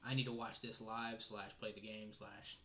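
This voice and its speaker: A man's voice: on the low side, little pitch movement, normal speed, a bit bright. A man chatting casually on a gaming panel, informal and slangy in the way he talks. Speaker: gaming talk show panelist. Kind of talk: casual chat.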